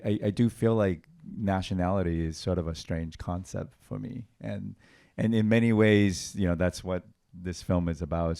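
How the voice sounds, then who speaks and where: A man's voice: sits low, warm tone. A man from Taiwan, a film director, answering on a panel in a thoughtful way, with many fillers and restarts. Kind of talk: panel Q&A conversation.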